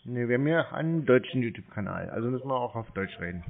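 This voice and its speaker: A man bantering casually on phone calls in a deadpan, laconic, provoking manner. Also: mid-range voice, fast, vocal fry. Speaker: prank call recipient and YouTuber. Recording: tinny narrow phone-call audio, noisy.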